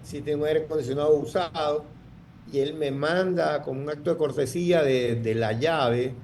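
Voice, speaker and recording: A man's voice: fairly high pitch, normal speed, darkish tone, a little hiss or hum. A man, telling his story in an interview, rambling with many restarts. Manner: rambling, full of restarts and fillers. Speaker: guest entrepreneur.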